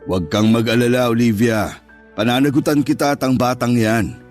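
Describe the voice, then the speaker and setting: A man's voice: medium pitch, fairly even intonation, moderate pace, darkish tone, faint music behind, lightly acted. A man with a Filipino accent playing a wealthy, jealous, controlling businessman lover in a Tagalog radio drama. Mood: reassuring. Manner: controlling, alternately reassuring and threatening.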